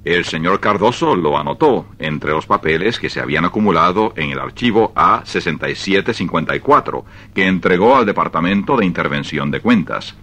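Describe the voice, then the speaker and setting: Man narrating an old radio story, lowish voice, very animated delivery, measured. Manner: expressive, measured.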